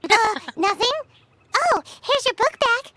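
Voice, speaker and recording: A woman voicing a tiny, chirpy, nosy fairy companion with a squeaky childlike voice for a video game. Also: very animated, slow, bright, forceful tone, a little hiss or hum, exaggerated voice. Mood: curious, chirpy. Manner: refers to itself in third person, blurting.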